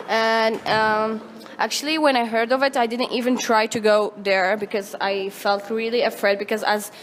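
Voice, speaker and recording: A woman's voice: fairly high pitch, little pitch movement, moderate pace. A young woman from Morocco giving casual, rambling interview answers. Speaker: student interviewee.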